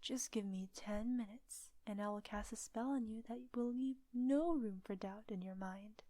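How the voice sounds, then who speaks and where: A woman playing a confident, teasing witch in an intimate audio roleplay, soft and breathy with frequent drops into a whisper. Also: medium pitch, no echo, lightly acted. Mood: teasing, challenging. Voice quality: breathy, often dropping to a whisper. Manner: teasing, coaxing, unhurried.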